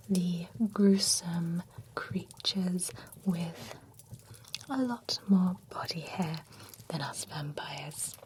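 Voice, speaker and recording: A woman's voice: lowish voice, a little hiss or hum, rough recording. A woman whispering an ASMR roleplay as a female vampire spa groomer who chats politely while she trims a client's hair. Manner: soft whisper, polite salon chatter.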